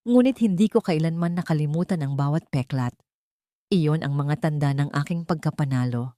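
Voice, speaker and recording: A woman with a Filipino accent narrates a story in the first person, reflective and lyrical. Her voice sits very low for a woman. The sound is dry and close, with no room in it. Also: normal speed, mellow, no echo.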